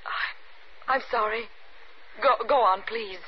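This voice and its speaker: An actress in 1950s radio suspense style, playing a cold, calculating wife who murders her husband, composed and deliberate. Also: a little high, a little hiss or hum. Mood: feigned grief. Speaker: actress narrating in first person. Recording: muffled vintage radio recording with music.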